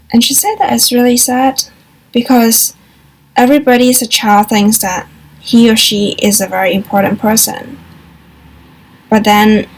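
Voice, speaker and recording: A young woman from Hong Kong, a university student, talking softly and hesitantly in a personal confessional vlog, pausing and restarting as she reflects. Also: medium pitch, creaky, husky, low-quality recording. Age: young adult. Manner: soft, hesitant, reflective, with frequent pauses and restarts. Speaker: vlogger.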